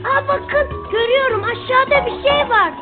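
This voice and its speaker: A woman's voice: extremely high pitch, normal speed. A woman voicing a bold, curious, playful little boy in a children's cartoon dub. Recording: tinny narrow-band audio with music.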